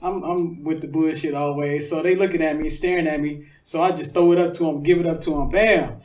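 A man's voice: a little high, steady tone, brisk, darkish tone, recorded from a distance. A man, a rapper telling stories casually in slangy, profanity-laced street talk. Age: 30s. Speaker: rapper, interview guest. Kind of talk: casual chat, storytelling.

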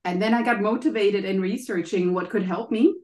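A woman's voice: medium pitch, a little fast, a little mellow, echoey room. A German woman with a German accent, a guest coach explaining things in casual interview answers, with frequent ums and maybes. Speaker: guest, health and happiness coach.

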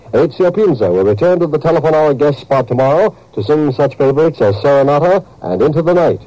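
Lively, mellow American radio promo announcer. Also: male voice, a little high, dry recording. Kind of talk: scripted 1950s promotional announcing. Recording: muffled old 1950s radio recording.

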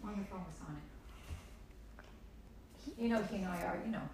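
A woman, the mother of a child podcaster, answering in a hushed, half-whispered, teasing way. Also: fairly low pitch, fairly quick, roomy sound, a little hiss or hum. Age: adult. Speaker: mother of the show's child host. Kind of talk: playful banter at home.

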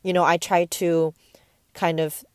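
A nineteen-year-old woman from the Bay Area chats casually, drifting between thoughts with plenty of "um" and "like." Her voice sits a little low for a young woman. It comes through earbud mics in a parked car, close and dry with no room sound. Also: level tone, a little slow, no room sound. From San Francisco Bay Area. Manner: rambling, easily distracted, frequent fillers. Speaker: podcast host. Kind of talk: casual solo podcast chat. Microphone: wired earbuds mic into a tablet.

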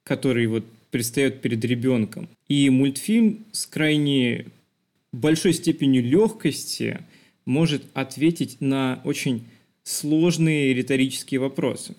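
A man's voice: mid-range voice, measured pace, darkish tone. A man co-hosting a podcast, discussing film in a thoughtful, explanatory conversational way. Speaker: podcast co-host.

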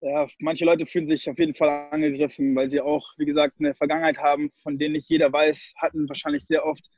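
A man's voice: a little high, level tone, fast, a little mellow, no echo, dull audio. A young man from Germany, a guest in a casual interview, who slips English phrases into his German. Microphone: call recording.

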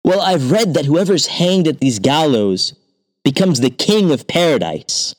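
A man's voice: a little high, big pitch swings, a little slow, voice pushed up. A man doing a sly, whiny thief. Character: quick-witted scheming male thief. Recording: long hall reverb.

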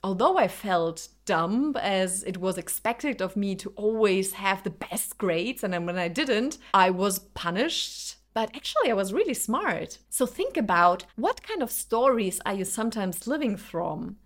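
A woman's voice: mid-range voice, animated, unhurried, few pauses. Reflective woman, native Lithuanian and Russian speaker. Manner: earnest, addresses the listener with rhetorical questions. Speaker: spiritual self-help speaker. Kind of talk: reflective personal monologue, motivational talk.

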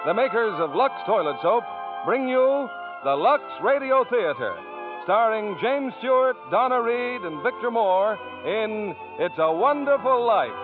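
A man's voice: high voice, very animated, unhurried. A man making a grand, formal opening announcement for a 1940s radio show. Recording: tinny old radio recording, loud music behind.

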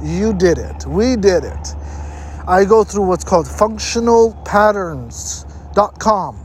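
A man's voice: high-pitched, lively intonation, takes it slowly, darkish tone, slight background noise. A man hosting a motivational health-tips talk, speaking emphatically and repeating points for stress. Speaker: host giving health tips. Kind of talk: motivational advice talk to camera.

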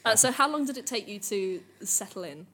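A woman's voice: mid-range voice, animated, brisk, fairly bright tone. A young woman hosting a podcast, chatting casually and steering the talk with questions. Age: young adult. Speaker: podcast host. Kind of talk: casual chat, asking questions.

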